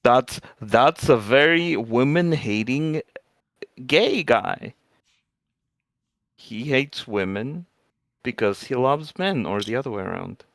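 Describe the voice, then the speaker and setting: A man's voice: medium pitch, expressive, slow, vocal fry, long pauses, a bit bright, dry recording. A man co-hosting a game playthrough, chatting casually with wry, joking asides and free swearing. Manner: wry, riffing, swears freely. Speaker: co-host commenting on a game playthrough.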